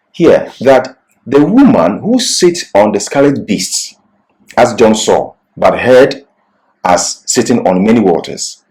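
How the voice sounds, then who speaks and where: A man giving an expository Bible sermon and reading scripture in an emphatic, deliberate, didactic manner. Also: medium pitch, a little mellow, rough recording. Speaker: Bible teacher.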